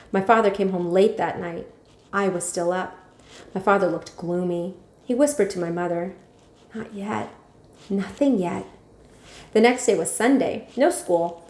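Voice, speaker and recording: A woman narrating a children's story aloud like a teacher, expressively and with clear phrasing. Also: medium pitch, a little mellow. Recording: echoey room.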